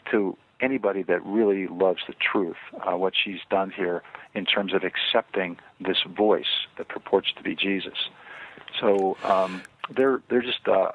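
An older man, an author and guest on a radio interview, speaking earnestly and measuredly with frequent pauses and fillers. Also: low voice. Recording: tinny phone line, dry.

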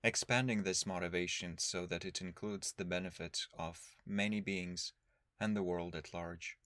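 A man leading a guided meditation as a teacher, speaking in a calm, measured, instructional way with long pauses. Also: deep voice, dry recording.

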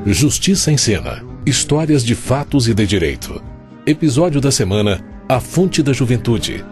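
A man's voice: medium pitch, very animated, moderate pace, over music. A man with a Brazilian accent reading scripted radio programme credits as an announcer.

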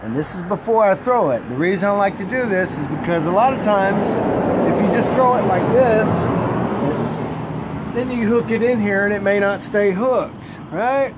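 A man in his thirties, a flatbed truck driver, giving a casual how-to on his phone camera outdoors beside his trailer, rambling, restarting and cursing freely. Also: high voice, normal speed. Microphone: handheld phone camera, close. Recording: loud outdoor noise. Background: traffic and vehicle noise.

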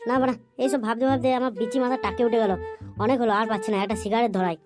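High, rapid-fire young cartoon boozer, woman acting. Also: over music, close to an everyday voice. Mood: grumbling, crude. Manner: pleading, grumbling, repetitive. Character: hapless, wheedling young male boozer, crude and whiny. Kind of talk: comedy cartoon dialogue and monologue.

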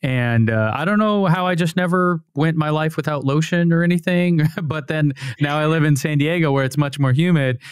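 A man in his thirties hosting a podcast, chatting in a relaxed, friendly way at a studio mic in a dim home studio. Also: a little high, normal speed, hardly any pauses, clear, resonant tone, no room sound. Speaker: podcast host. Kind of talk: casual interview chat. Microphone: studio mic on boom, headphones.

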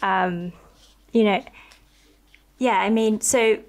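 Podcast host, young woman, ordinary pitch, eager and reflective, close studio mic at home. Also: takes it slowly. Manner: thoughtful, enthusiastic, many likes. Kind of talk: conversational interview. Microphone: studio mic on a boom arm with headphones.

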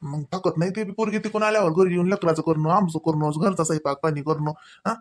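Man playing a high-voiced, chiding village father-in-law, Varhadi accent. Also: steady tone, fast talker, natural voice. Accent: Varhadi Marathi. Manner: colloquial, admonishing, punctuated with questioning tags. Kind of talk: Marathi comedy cartoon dialogue.

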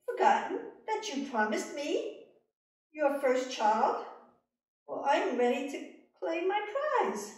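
A sly little man, high and sharp, who taunts and drives a hard bargain, as a woman storyteller puts him on. His voice rings around an echoey room. It comes from some distance off the mic. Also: sing-song, slow, pauses often, full character voice. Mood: taunting.

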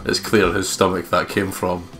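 A man's voice: low voice, flat delivery, normal speed. A man reading visual novel narration as a let's play host, with casual, jokey asides. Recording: music playing behind the voice.

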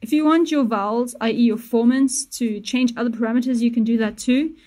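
A young woman hosting a casual music-production tutorial, talking through each step in a relaxed way with small self-corrections, recorded on a studio mic in a small home studio. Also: fairly high pitch, fairly quick. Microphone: studio mic on boom with headphones.

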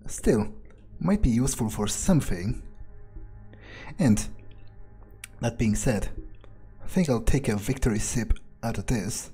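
A man giving in-character game playthrough commentary, smug, sardonic and profane, musing haltingly into a close microphone. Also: mid-range voice, animated, warm tone, husky, soft background music. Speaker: gamer role-playing his character.

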